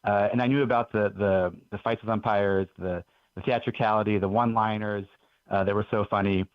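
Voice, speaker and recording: A man's voice: on the low side, steady tone, a little fast, no room sound. A man in his forties, from Belgium, telling stories as a guest author in an earnest, enthusiastic way on a radio interview. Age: 40s. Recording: dull lo-fi radio audio.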